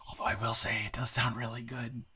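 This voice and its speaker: A man playing a nervous, smitten new guy in town in an improvised romantic audio roleplay, halting and playfully teasing. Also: mid-range voice, little pitch movement, sharp tone. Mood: hopeful, playful.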